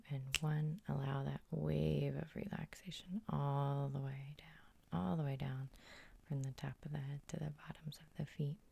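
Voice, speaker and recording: A woman hypnotherapist guiding an ASMR sleep hypnosis in a soft, soothing half-whisper with slow, lingering phrases. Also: deep voice, gravelly, husky, no echo. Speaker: hypnotherapist and host.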